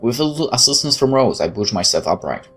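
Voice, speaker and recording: A man's voice: mid-range voice, sing-song, quick pace, a bit bright, soft background music. A man hosting a let's play, reading a visual novel aloud and adding casual off-the-cuff commentary. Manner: off-the-cuff remarks between read passages.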